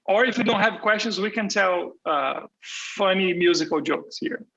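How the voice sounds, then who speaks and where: A man with a high-pitched, bright and forward voice presents on a live video call. He talks casually and likes to joke and tease his colleagues between explanations. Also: moderate pace, vocal fry, muffled recording. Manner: joking, teasing. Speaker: panel presenter, composer.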